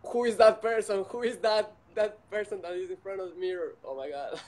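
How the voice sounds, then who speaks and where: A man who speaks English as a second language, talking casually as an interview guest in a halting, repetitive way with many fillers. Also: high voice, steady tone, darkish tone. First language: not English.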